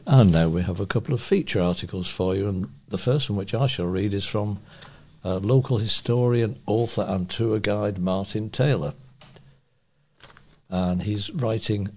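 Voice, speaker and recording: A British man reads a written feature aloud as a volunteer newsreader. His voice sits fairly low and is noticeably mellow. There is a husky grain to it throughout. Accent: British English. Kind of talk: reading a feature article aloud.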